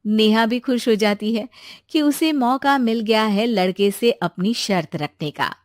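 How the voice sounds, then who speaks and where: A woman narrating a cartoon moral story in a steady storytelling manner. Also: on the high side, measured pace, no room sound, studio quality.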